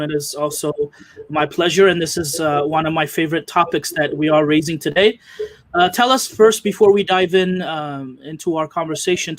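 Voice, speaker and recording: A man hosting an interview show, speaking earnestly with frequent pauses and fillers. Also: a little high, dry recording. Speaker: host. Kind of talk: hosted interview.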